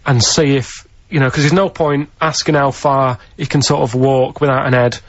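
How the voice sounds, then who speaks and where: A man with a mid-pitched voice rambles through an anecdote in a halting, earnest way. He is vague and casual, and the sound is a dull, lo-fi radio recording. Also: steady tone, slight background noise. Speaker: radio show regular telling a story.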